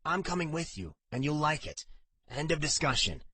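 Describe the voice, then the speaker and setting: A man's voice: a little high, sing-song, normal speed, bright, forceful tone, breathy edge, no room sound, pitched above the performer's own voice, heavily acted. A man voicing a stubborn, loyal, wry young man in audiobook dialogue, firm and blunt.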